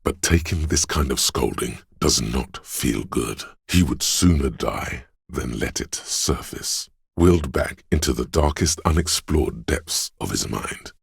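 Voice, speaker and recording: An actor playing a stern, steadfast inner voice of willpower in a video game, giving measured, solemn counsel and urging composure. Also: male voice, rumbling low, expressive, vocal fry, breathy edge, no room sound, clean studio sound, full character voice. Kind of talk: dramatic video game voice acting.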